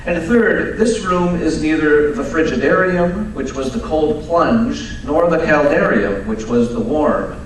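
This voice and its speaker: Man acting as radio-drama sleuth, precise, didactic, superior, slightly high male voice, distant echoing stage recording. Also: expressive. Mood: pedantic, composed. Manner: pedantic, measured, didactic. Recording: echoey live stage, distant mic, faint noise.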